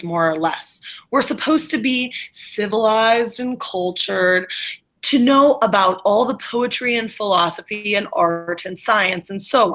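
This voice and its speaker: A woman's voice: a little high, fairly bright tone. A woman reading as a brusque, scornful professor. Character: blunt, exasperated male professor, middle-aged. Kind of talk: reader's theatre reading. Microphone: video call.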